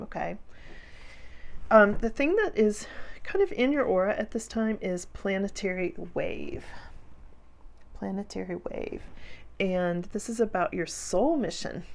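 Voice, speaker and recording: A slightly hoarse woman giving a casual, chatty tarot reading, speaking directly to her viewers and repeating phrases for emphasis. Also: mid-range voice. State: slightly hoarse after a cough. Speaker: tarot reader and channel host.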